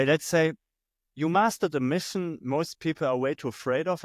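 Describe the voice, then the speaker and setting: A man's voice: mid-range voice, clean tone, dry recording. A man hosting a podcast who asks questions in a thoughtful, hesitant way, searching for his words. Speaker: podcast host. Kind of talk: interview conversation.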